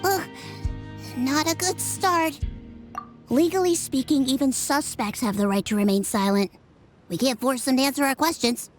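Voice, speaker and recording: Woman voicing a high, earnest little sleuth. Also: moderate pace, faint music behind, close to an everyday voice. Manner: analytical, precise explaining. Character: brainy nonhuman young female sleuth, earnest and methodical. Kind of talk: video game voice acting.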